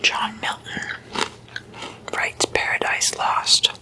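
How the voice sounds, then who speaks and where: A woman hosting ASMR, whispering a scripted explainer in a breathy, deliberate way with measured pauses between phrases. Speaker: ASMR host.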